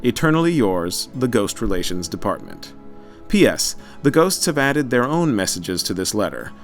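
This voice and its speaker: A man's voice: fairly low pitch, very animated, moderate pace, faint music behind. A man reading scripted narration in a formal, theatrical manner.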